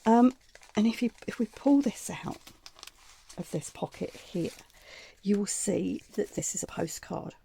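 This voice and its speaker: A woman hosting a casual craft tutorial, chatty and meandering, her voice croaky from a chest cold. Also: medium pitch, unhurried, pauses often, mellow, a little hiss or hum. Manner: chatty and meandering, with frequent ums and asides. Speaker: craft channel host.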